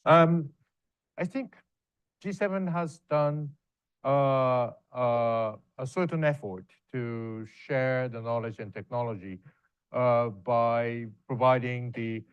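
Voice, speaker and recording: Man, professor on panel, halting, fillers, resonant, muffled dry sound. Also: mid-range voice, smooth, no echo. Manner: hesitant, many fillers, halting phrasing. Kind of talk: answering audience questions on a panel.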